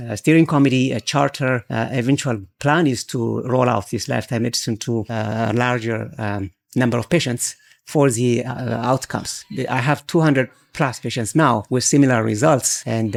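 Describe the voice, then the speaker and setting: A man from Ethiopia, a physician guest on a podcast taped at a conference, speaking with many uh fillers and throat clearing. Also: mid-range voice. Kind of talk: podcast interview. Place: conference venue.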